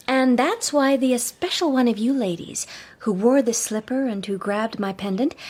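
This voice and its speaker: An actress playing a witty young socialite detective, arch, playful and genteel in a period radio drama. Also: female voice, a little high, darkish tone, slightly rough.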